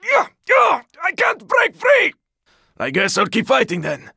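A battle-weary man fighting through a zombie apocalypse in a video game. His voice is pitched very high for a man and is sharp and tense, with a rough rasp. He throws out short, forceful callouts in a close, dry recording. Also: wildly swooping pitch, unhurried, dry recording, full character voice. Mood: panicked, desperate, then weary, resigned. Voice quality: raspy, gravelly. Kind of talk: video game combat barks.

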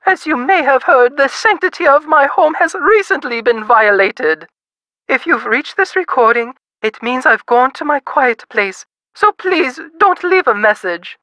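A woman voicing a pompous, melodramatic high-society lady in a video game, genteel and flowery. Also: sharp tone, no room sound, heavily acted, rough recording. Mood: prim, formal. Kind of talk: video game character dialogue.

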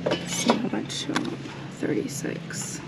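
Lady filming her antique mall haul, talks slow and chatty, loud fan hum. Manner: chatty, admiring, frequent ums. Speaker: reseller vlogger. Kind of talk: casual shopping vlog narration. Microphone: handheld camera. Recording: fan noise in the background.

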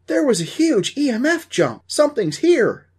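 A man voicing an excitable, pouty young male ghost hunter who blurts things out and is easily spooked, in an audio story. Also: a little high, normal speed, slightly rough, pitched above the performer's own voice. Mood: panicked, shouting.

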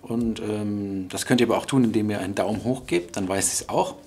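A man's voice: fairly low pitch, a little fast, darkish tone. A man chatting casually to his viewers as a vlogger, rambling and thinking aloud. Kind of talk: casual vlog chat. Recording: clearly audible ambient background noise.

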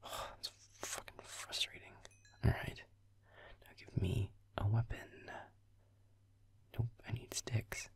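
Man playing a grumpy, low, gravelly half-whispering boyfriend. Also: steady tone, slow, pauses often, heavily acted. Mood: frustrated. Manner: grumbling, irritated, sweary muttering. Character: grumpy sarcastic werewolf boyfriend, tsundere, gaming. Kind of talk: roleplay audio, improvised gameplay muttering.

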